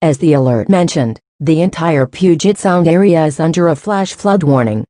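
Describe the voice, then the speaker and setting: A woman playing a serious, composed local television news host, reading a scripted broadcast in a formal, measured way. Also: low voice, animated, dark tone, no echo, clean studio sound. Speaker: news anchor.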